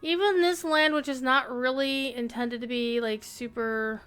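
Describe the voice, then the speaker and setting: A woman game streamer with a bright, clear voice that sits a little high. She chats casually as she plays, thinking aloud and reading out bits of quest text. Soft game music runs underneath. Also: fairly even intonation, measured pace. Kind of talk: casual gameplay commentary. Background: faint game music.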